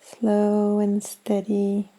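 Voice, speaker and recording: A woman leading a guided meditation in a gentle, soothing, unhurried manner with long pauses. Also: mid-range voice, monotone. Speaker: yoga nidra meditation guide.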